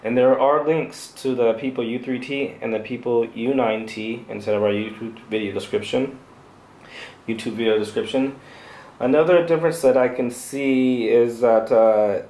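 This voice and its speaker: A man giving an unscripted product review, speaking in a hesitant, halting, off-the-cuff way as a channel host. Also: fairly low pitch, a little mellow.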